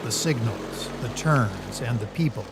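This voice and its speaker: A man's voice: medium pitch, expressive, measured pace, creaky, background noise. A man reading scripted third-person story narration as the narrator.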